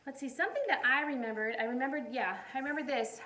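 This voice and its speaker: High-voiced woman teacher reading to young children. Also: a little hiss or hum, low-quality recording. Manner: encouraging, animated, asks questions and pauses for answers. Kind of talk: read-aloud storytime with questions to young listeners.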